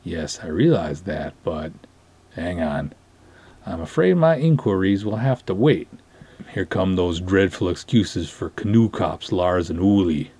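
Man playing an earnest, pompous canoe cop, low-voiced. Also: expressive, unhurried, mellow, husky, exaggerated voice. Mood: indignant, puzzled. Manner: formal, florid, flustered phrasing.

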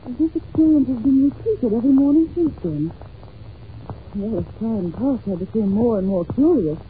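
A woman's voice: a little high, expressive, normal speed, lightly acted. A woman playing an anxious, polite young governess in an old-time radio drama, speaking earnestly and hesitantly on a muffled, hissy recording. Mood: confiding. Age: young adult. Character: anxious, well-mannered young governess confiding in a detective.

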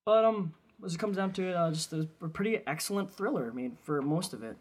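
A man's voice: sits high, expressive, quick pace, slightly rough. A man co-hosting a film podcast, chatting casually about movies. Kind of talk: casual chat about films.

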